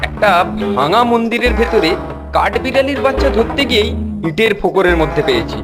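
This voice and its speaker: A man voicing a cheeky, wary young schoolboy in a cartoon, animated and given to complaining and wheedling. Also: sits high, a little fast. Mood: nervous, sly. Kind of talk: Bengali cartoon voice acting. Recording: music behind the voice.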